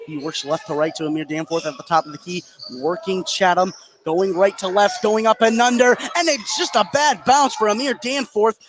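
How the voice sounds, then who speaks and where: A man with a fairly high voice calls a live basketball game play by play. Clear background noise from the gym runs under his commentary, and his delivery lifts excitedly when the action heats up. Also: moderate pace. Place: indoor basketball gym at a tournament. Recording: noisy gym behind live broadcast.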